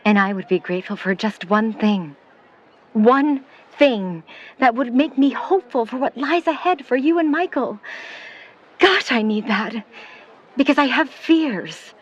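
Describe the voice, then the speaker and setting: A woman playing a skeptical, worried middle-aged mother in a 1950s period audio drama, speaking in a proper, measured way. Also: a little high, expressive. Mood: fearful, pleading. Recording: dull, noisy recording with ambience.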